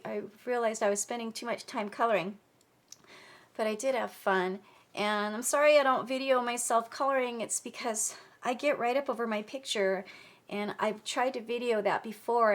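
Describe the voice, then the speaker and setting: A woman with a head cold talks through a stamping and coloring tutorial at her craft table. Her voice is stuffy from blocked sinuses, and she stays chatty and easygoing, correcting herself and clearing her throat as she goes. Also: mid-range voice, fairly bright tone. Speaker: card-making vlogger.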